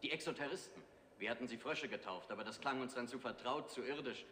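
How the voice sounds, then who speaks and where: An actor playing an irritable, sardonic radio officer on a space crew, in 1960s TV drama style. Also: male voice, medium pitch, fast talker, sharp tone, close to an everyday voice. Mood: wry, casual. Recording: reverberant, hissy 1960s TV soundtrack.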